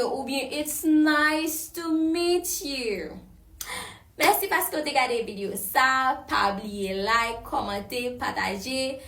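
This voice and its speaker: A woman teaching a language lesson, explaining in Creole and repeating English phrases slowly, recorded in an echoey room. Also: sits high, fairly bright tone, distant mic. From Haiti. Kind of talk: slow, deliberate language lesson. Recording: echoey room, voice set back from the mic.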